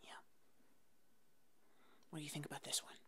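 A woman doing an ASMR roleplay as an easygoing puppet hairdresser, speaking in a soft, breathy whisper with short, reassuring phrases. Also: normal speed. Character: fuzzy monster puppet hairdresser, attentive and easygoing.

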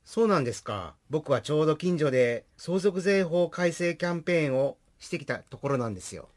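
A man's voice: on the high side, lively intonation, a little fast, close to an everyday voice. A man playing an eager, sociable accountant in a comic radio drama.

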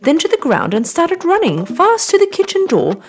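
A woman tells a children's story in an expressive scripted style. Her voice is high and extremely animated, set over clear background music. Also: normal speed.

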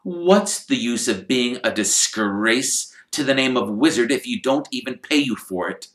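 A man's voice: mid-range voice, animated, fairly bright tone, voice pushed up. A man reading aloud and voicing a sneering, wealthy older wizard with a cold, drawling manner. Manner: slow, drawling, cold mockery. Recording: echoey room.

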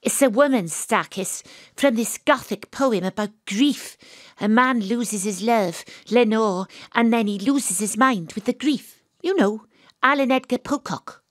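An actress playing a troubled, weary woman private detective with a dramatic, halting delivery in an audio drama. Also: fairly high pitch, dry recording, studio quality. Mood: weary, evasive.

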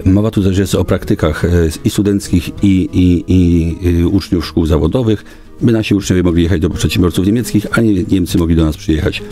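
Deep, dark-voiced middle-aged man, halting. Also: soft background music. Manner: halting, formal official speech with frequent hesitations. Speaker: local government official, guest. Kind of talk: formal radio interview answers. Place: small radio studio. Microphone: studio boom microphone.

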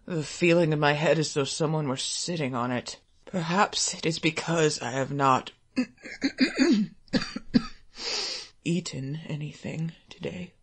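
A woman's voice: very high-pitched, fairly even intonation, moderate pace, few pauses, pitched above the performer's own voice. A woman voicing a poor, ashamed father who speaks in a hollow, shaking voice and mutters to himself. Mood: muttering.

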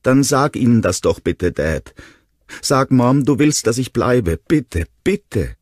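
Man acting as small tearful boy, raised pitch, imploring, whimpery, husky, storybook character. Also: animated, slow, a little mellow, no room sound, studio quality. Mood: begging. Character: small tearful boy pleading with his father.

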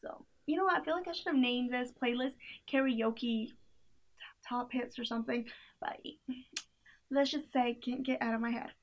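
Young woman with a high, gravelly voice. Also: moderate pace, pauses often. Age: young adult. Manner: chatty, filler-heavy, giggly asides. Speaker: playlist reviewer. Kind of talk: casual chat. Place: small room indoors by a door.

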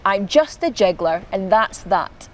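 A woman's voice: medium pitch, expressive, unhurried, close to an everyday voice. A woman voicing a mischievous, fidgety young schoolgirl in a children's storybook, with a plaintive, exclaiming manner. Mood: sulky, indignant.

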